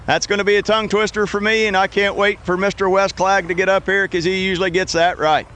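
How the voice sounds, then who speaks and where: A man hosting a youth fishing tournament weigh-in outdoors at a lake, announcing loudly in an easygoing, joking way. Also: high-pitched, level tone, quick pace. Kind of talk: live event announcing.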